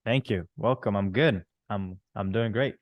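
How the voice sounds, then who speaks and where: A man's voice: on the low side, unhurried, no echo. A man from Rwanda who moved to Canada as a teen, telling his own story casually as an interview guest and often rephrasing as he goes. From born in Rwanda, moved to Canada at thirteen.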